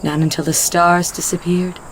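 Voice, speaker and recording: A woman's voice: on the low side, flat delivery, moderate pace, slight background noise, rough recording. A woman narrator reading a scripted story in the third person.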